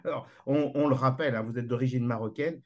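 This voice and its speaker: A man's voice: mid-range voice, fairly even intonation, quick pace, muffled recording. A man interviewing a guest, asking questions with a hesitant delivery full of fillers. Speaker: radio interviewer.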